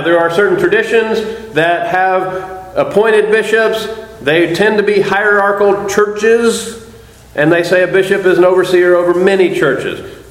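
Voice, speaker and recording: High-voiced preacher in an echoey hall. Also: male voice. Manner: explanatory, asks the congregation questions. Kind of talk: sermon.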